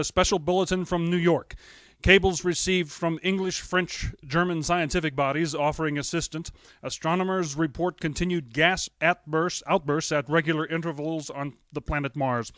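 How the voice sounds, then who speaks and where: An actor playing a grave, shaken radio news announcer, solemn and halting as he reads emergency bulletins in 1930s radio style. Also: fairly high pitch, fairly bright tone, no room sound, close to an everyday voice. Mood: urgent, halting.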